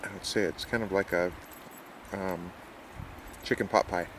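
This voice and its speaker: A man's voice: deep voice, fairly even intonation, measured pace, noisy background. A man casually narrating his backpacking trip outdoors on a mountain trail in an easygoing, wry way, recorded on a handheld camera mic.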